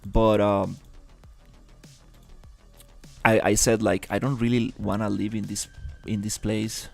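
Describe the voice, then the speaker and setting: Guy streaming, slow n rambly, lots of ums, faint music behind. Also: on the low side, level tone, vocal fry, a little mellow. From Costa Rica. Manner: halting, many fillers and restarts. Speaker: livestreamer. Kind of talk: casual live-stream chat.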